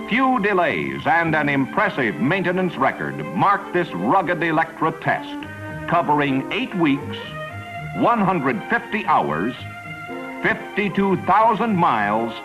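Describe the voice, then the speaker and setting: A man's voice: fairly high pitch, rough recording. An American man narrating a scripted 1950s promotional newsreel in a polished, declarative announcer style. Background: music bed.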